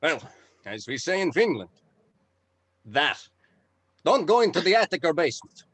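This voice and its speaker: A man's voice: on the high side, very animated, normal speed, a bit bright, dry recording, full character voice. Gloomy, reluctant guide, acted by a man, wry and theatrical, recorded dry, for a comedy read-through. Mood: wary, wry. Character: gloomy, reluctant man delivering guests to a foreboding house. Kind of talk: table read of a comedy script.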